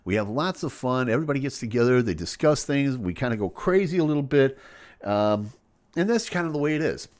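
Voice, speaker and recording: Hoarse man hosting a playful live stream. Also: mid-range voice, a little fast. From Chicago area. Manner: jokey, playful, animated delivery with sudden loud bursts. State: hoarse from a head cold. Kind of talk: casual live-stream chat reading viewer comments.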